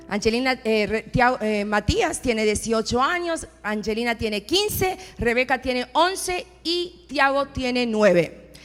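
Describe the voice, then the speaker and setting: A woman in her forties from Argentina with an Argentine accent, preaching a personal testimony as a pastor's wife in church. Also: on the high side. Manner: personal storytelling, rising to emphatic declarations. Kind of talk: sermon testimony. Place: church sanctuary. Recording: long hall reverb with faint music.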